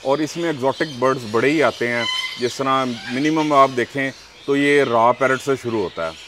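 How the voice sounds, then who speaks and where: A man from Pakistan who breeds parrots, answering interview questions calmly and explaining at length with examples. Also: medium pitch, normal speed, noisy background.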